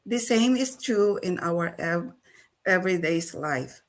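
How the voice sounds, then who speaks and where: An older woman from the Philippines, a great-grandmother, giving a slow, measured prepared talk with some hesitations. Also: fairly low pitch, lively intonation. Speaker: panel speaker.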